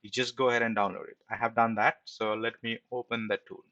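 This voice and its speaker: A man's voice: mid-range voice, flat delivery, fast talker, sharp tone, no echo, highs cut off. A man giving an informal screen-share programming tutorial as an instructor, explaining step by step and often checking in with a short tag question.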